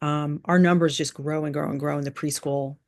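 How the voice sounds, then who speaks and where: A woman giving informal remarks at a meeting as a school board chair, thinking aloud in a halting way and repeating phrases, on a video call. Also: deep voice, fairly even intonation.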